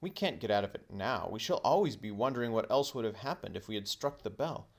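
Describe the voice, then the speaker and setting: Guy doing a stubborn curious kid from a storybook, insistent, bit creaky. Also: fairly low pitch, expressive, a little fast. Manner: insistent, exasperated. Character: headstrong, curious, argumentative young boy in a children's storybook.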